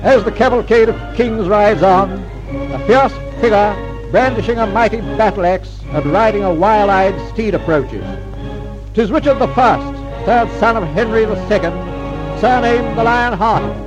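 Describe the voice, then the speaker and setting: A man's voice: high voice, a little slow, muffled recording. A man reading dramatic scripted narration in a grand, declamatory old-time radio style. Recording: vintage radio recording under music.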